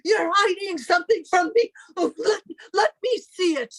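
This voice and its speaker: A woman's voice: very high voice, normal speed, a bit bright, dry recording. A woman playing a possessive, sanctimonious older sister in old-time radio melodrama, theatrical and reproachful. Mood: suspicious, pleading.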